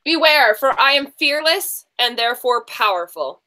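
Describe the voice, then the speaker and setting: A woman hosting a casual book quiz, chatting in an animated, teasing way. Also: sits high, normal speed. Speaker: quiz show host.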